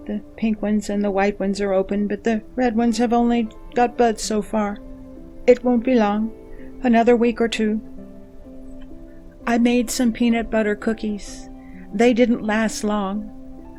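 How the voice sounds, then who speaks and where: A woman voicing a caring ship computer in a sci-fi audio drama, reading a message in a gentle, measured, plain-spoken way. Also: medium pitch, little pitch movement, soft background music, close to an everyday voice. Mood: calm, chatty. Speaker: voice actor.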